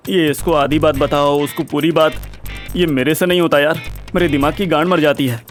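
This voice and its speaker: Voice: mid-range voice, steady tone, fairly quick, faint music behind, natural voice. A performer playing a laid-back, crude young male buddy who grumbles in colloquial slang in an audio drama. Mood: grumbling.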